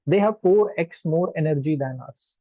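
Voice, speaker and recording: A man's voice: a little high, moderate pace, dry recording, muffled recording. A man from Punjab with an Indian English accent, a psychologist giving earnest, wordy interview answers that he builds up in lists. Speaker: psychologist and guest.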